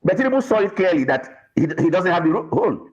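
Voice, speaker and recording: A man's voice: sits high, big pitch swings, brisk, dull audio. A man with a Nigerian accent giving emphatic political commentary, repeating phrases to add weight. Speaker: political analyst panel guest.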